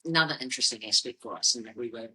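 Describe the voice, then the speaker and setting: A middle-aged woman with a New Zealand accent and a husky voice, telling a business story conversationally in measured, explanatory phrases. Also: a bass voice, pushed and bright, a little hiss or hum. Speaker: fashion business founder on a company podcast.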